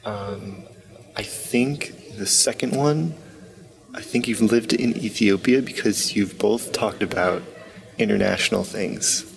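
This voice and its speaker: A man's voice: lowish voice, takes it slowly, gravelly, low-quality recording. A man hosting a hospital podcast, introducing guests and asking them upbeat, friendly questions. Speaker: podcast host.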